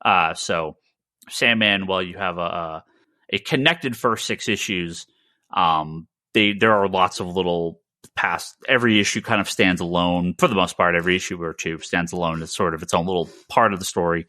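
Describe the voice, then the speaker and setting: A man co-hosting a comics podcast, explaining things casually and emphatically with halting starts and repeated words. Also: deep voice, pushed and bright, no echo, studio quality.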